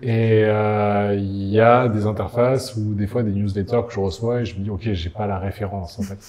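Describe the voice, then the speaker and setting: A man's voice: lowish voice, steady tone, normal speed, a little mellow. A middle-aged man moderating a design panel, reflecting on points with wry asides before asking questions. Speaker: meetup host, moderator.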